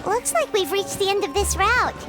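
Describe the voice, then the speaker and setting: A woman voicing a small, childlike, curious fairy companion in a video game, with a squeaky voice. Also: animated, moderate pace. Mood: puzzled. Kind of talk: video game character acting. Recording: game music behind the voice.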